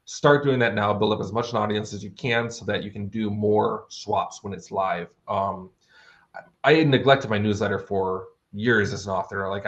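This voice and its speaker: A man's voice: lowish voice, a bit bright, highs cut off. A man chatting casually as an interview guest, explaining things in an informal, conversational way. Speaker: interview guest, fantasy author.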